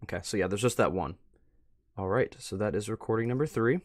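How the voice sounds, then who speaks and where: A man doing casual live commentary as a streamer, talking in a slangy, excitable way with mild substitute swears. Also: on the low side, brisk, dry recording. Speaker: streamer reacting to videos.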